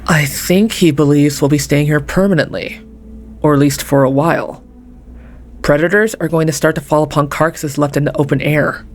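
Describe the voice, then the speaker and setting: A woman's voice: low voice, steady tone, normal speed, soft background music, lightly acted. A woman acting a thoughtful, practical adventurer in an audiobook fantasy drama. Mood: matter-of-fact.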